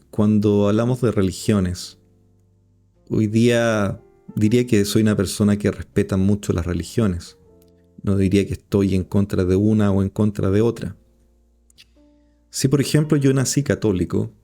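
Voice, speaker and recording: A man from Chile, giving a reflective podcast monologue in a measured, musing way and often pausing on rhetorical questions. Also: on the low side, warm tone. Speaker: podcast host.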